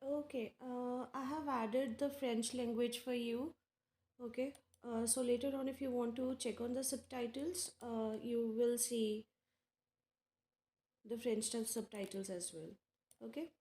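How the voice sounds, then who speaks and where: High, slow-speaking woman with an Indian accent. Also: fairly even intonation, mellow. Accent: Indian English. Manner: unhurried, many fillers, talks to chat viewers by name. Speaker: tarot reader hosting a live stream. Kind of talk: casual live-stream chat with viewers. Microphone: earphones with mic. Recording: echoey room.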